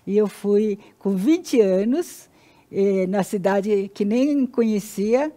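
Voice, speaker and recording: Interview guest, woman, 60s, Brazilian Portuguese, mellow mid-pitched voice, halting and sincere personal storytelling. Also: expressive. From Paraná, Brazil. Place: indoor TV-style studio.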